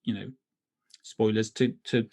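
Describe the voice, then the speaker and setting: A man's voice: fairly low pitch, fairly even intonation, unhurried, vocal fry, darkish tone. A middle-aged man, a writer being interviewed, talking casually about his craft and often circling back to rephrase.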